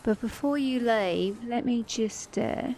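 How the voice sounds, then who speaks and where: A woman's voice: fairly high pitch, slow pace, a little hiss or hum, low-quality recording. A woman with a mixed Australian and British accent playing a flirty, sassy, teasing car mechanic in an ASMR romance roleplay. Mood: teasing, affectionate. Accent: Australian-British mix. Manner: flirty, teasing, playful, with soft chuckles.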